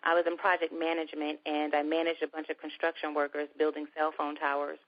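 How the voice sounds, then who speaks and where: Soft-voiced woman on a lo-fi line. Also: deep voice, completely flat delivery, fast. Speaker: guest. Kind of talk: casual conversation. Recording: dull lo-fi recording.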